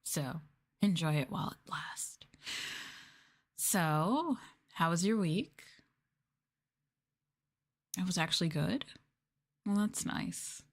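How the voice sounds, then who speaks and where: A woman streamer speaks at a very unhurried pace in a slightly low register. She plays sadistic for an ASMR roleplay, condescending and mocking toward her listeners. Key words are stretched out with playful, teasing emphasis. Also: lively intonation. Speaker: VTuber streamer, host.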